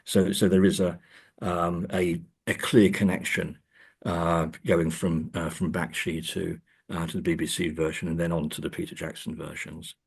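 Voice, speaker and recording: A man's voice: deep voice, little pitch movement, normal speed, creaky, slightly rough. A man speaking as an academic guest in an interview, discussing in a conversational, searching way and stressing key words. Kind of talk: conversational literary and film discussion.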